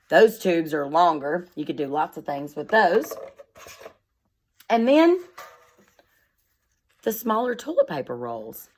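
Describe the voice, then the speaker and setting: A woman speaking as a schoolteacher, reading aloud and explaining things in simple, instructive words addressed directly to young children. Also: fairly low pitch.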